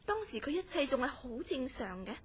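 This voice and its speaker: Radio drama: concerned young Hong Kong woman played by an actress, high-pitched, polite, measured and grave as she recounts a mystery. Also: sing-song. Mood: earnest, grave. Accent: Hong Kong Cantonese. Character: concerned, earnest young woman telling of her troubled friend. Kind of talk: Cantonese horror radio drama acting and narration.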